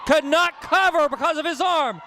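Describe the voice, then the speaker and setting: A man with a very high voice yells wrestling commentary, his voice worn hoarse. He gets excitable and pleads loudly at the action. Steady noise from the arena sits under his voice. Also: normal speed. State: losing his voice.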